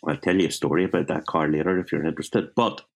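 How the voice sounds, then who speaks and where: A man's voice: a bass voice, steady tone, fast talker, vocal fry. A man in his fifties with a Belfast accent, a writer telling wry, self-mocking stories from his childhood in a casual interview, often restarting his sentences. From Northern Ireland. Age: 50s.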